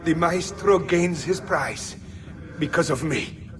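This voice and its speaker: A man's voice: a little high, takes it slowly, noisy background. An actor playing a cruel, smug mercenary nobleman in a video game cutscene, speaking in a sneering, threatening way. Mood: defiant, dying. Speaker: villain.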